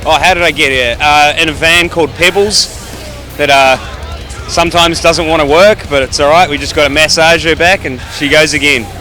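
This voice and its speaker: A man's voice: fairly high pitch, normal speed, bright, forceful tone, faint music behind. A man with a New Zealand accent, chatting casually and laid-back in an outdoor street interview. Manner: laid-back, swearing, rambling. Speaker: festival attendee. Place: outdoor parking lot.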